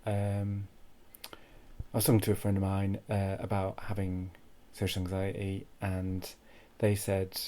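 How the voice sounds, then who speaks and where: A man telling a comic first-person story in an awkward, deadpan way, halting and repeating his phrases. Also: deep voice. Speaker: comic monologue host.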